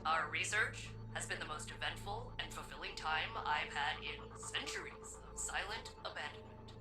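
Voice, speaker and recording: Lady doing a gloomy game ai, low dry deadpan voice, sardonic, music underneath. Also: normal speed, lightly acted. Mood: wry, lonely. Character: gloomy, sardonic female ship AI, lonely and wry. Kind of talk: video game acting.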